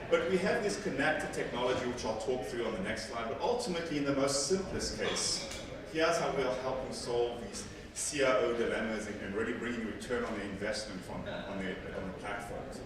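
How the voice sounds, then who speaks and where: A man gives a conversational talk to a seated audience in a large hall, often restarting his phrases. His voice is heard from far off through a handheld mic, and it rings with a strong echo. Audience noise is clearly heard behind him. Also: mid-range voice, fairly quick, few pauses, crowd noise. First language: Afrikaans. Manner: conversational, self-correcting with repeated words. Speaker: conference presenter. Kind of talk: technical conference talk. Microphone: handheld mic, filmed from far back.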